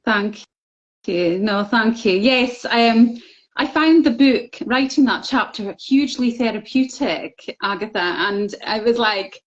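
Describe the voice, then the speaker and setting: A woman's voice: a little high, slow pace. A middle-aged woman telling her personal story openly and earnestly as an interview guest, with fillers. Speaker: guest, book contributor. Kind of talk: casual interview chat. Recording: slightly dull, lo-fi audio.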